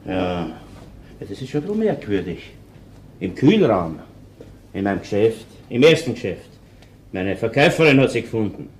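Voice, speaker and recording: An actor with an Austrian accent playing an evasive, halting but conciliatory butcher shop owner in a radio crime play. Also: male voice, on the low side, very animated.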